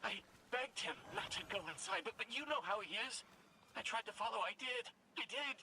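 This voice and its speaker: A man voicing a small, anxious and devoted robot companion in a video game, speaking in a fretful, halting way. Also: very high-pitched, bright, forceful tone, a little hiss or hum, full character voice, poor audio. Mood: distressed.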